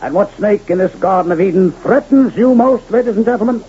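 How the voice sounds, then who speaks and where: An actor playing a smooth-talking traveling medicine showman in grandiloquent, theatrical old-time radio style. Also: a little fast, mellow, lightly acted. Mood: grand, persuasive. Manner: grandiloquent pitchman, florid and theatrical. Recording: muffled, noisy old radio recording.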